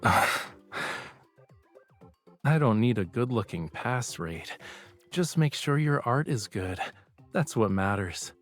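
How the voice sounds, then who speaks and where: A gentle thoughtful artist professor in a game, slow unhurried talk, faint music under it. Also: male voice, on the low side, animated. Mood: reserved, softening. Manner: gentle, sighing, pensive delivery. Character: soft-spoken reflective young male artist professor. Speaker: video game character voice actor. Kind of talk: intimate dramatic game dialogue.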